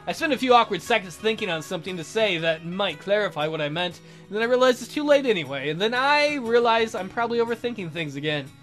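A woman's voice: medium pitch, animated, quick pace, hardly any pauses, a bit bright, soft background music. A woman hosting a let's play, reading a visual novel aloud with wry, irreverent asides.

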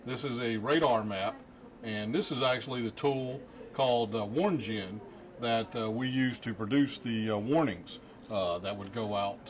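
A middle-aged man, a weather forecaster, giving an informal technical explanation in a halting, matter-of-fact way. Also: medium pitch. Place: forecast office at computer workstation. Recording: muffled audio with clear background noise.

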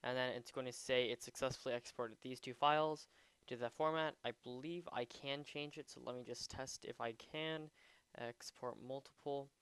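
A man giving a casual, unscripted software tutorial, a bit hesitant as he thinks aloud while demonstrating. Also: mid-range voice, steady tone, normal speed, smooth, no room sound. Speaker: tutorial presenter.

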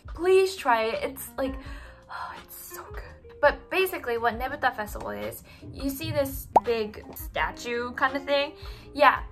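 A young woman from Japan chatting to the camera in her bedroom, animated and giggly, full of "like" and "you know". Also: fairly high pitch. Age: young adult. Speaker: vlogger. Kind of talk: casual chat to camera. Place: small bedroom indoors.

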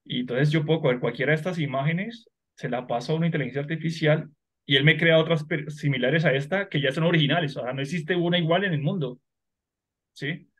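A man's voice: a little high, quick pace, a bit bright. A man on a video call explaining his work and often checking whether he is understood. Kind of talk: casual video call discussion.

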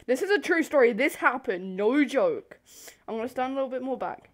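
A young woman telling a story casually to camera in an animated, rambling, giggly way, easily sidetracked. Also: sits high, fairly quick. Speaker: video host. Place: bedroom.

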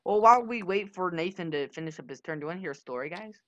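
A man's voice: high-pitched, lively intonation, racing, muffled recording, crowd noise. A young teenage boy hosting a gaming video, chatting casually and telling stories over gameplay. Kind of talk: casual gameplay chat and storytelling.

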